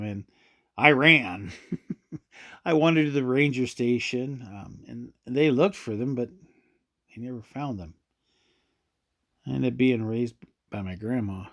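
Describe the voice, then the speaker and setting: A man's voice: mid-range voice, a little slow, long pauses, no echo, studio quality, natural voice. An actor playing an easygoing male park ranger in an audio drama, talking in a conversational, somewhat hesitant way. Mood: hesitant, uneasy.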